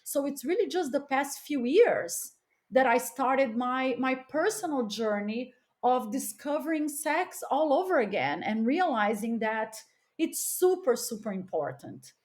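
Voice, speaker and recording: A woman from Los Angeles talks as a podcast guest in a fairly high voice. Her delivery is informal and emphatic, full of conversational fillers, and she speaks candidly as in a casual chat. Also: very animated, measured pace, darkish tone. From Los Angeles, United States. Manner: emphatic, informal with frequent "like" and "you know".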